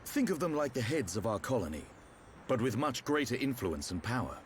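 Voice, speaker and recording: A man acts a seasoned fighter in a fantasy game cutscene. His voice sits at a middle pitch and moves expressively, measured and knowledgeable like a patient mentor. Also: very animated, a little hiss or hum, natural voice. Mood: explanatory. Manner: measured, explanatory, assured. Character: calm, knowledgeable seasoned male warrior, thoughtful mentor. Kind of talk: video game cutscene acting.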